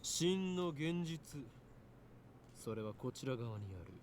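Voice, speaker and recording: An actor voicing an arrogant, grandiose young self-styled mad scientist in a Japanese visual novel, theatrical and taunting. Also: male voice, medium pitch, animated, moderate pace, background noise, lightly acted. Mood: grandiose, condescending.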